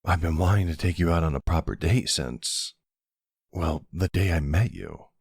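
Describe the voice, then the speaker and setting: Man playing a deep, gravelly flirty mafia boss. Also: normal speed, no room sound, studio quality. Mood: flirty, affectionate.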